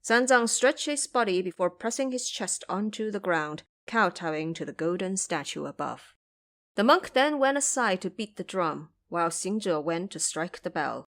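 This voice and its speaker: A woman narrating a scripted audio drama as a storyteller, with careful, expressive phrasing. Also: fairly low pitch, normal speed.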